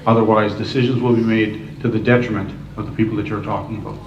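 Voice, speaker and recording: A man's voice: lowish voice, flat delivery, fast, recorded from a distance, noisy background. Earnest man candidate in a dull echoey hall. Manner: earnest, repetitive, speaks in lists. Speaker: political candidate. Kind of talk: debate answer. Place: public forum hall.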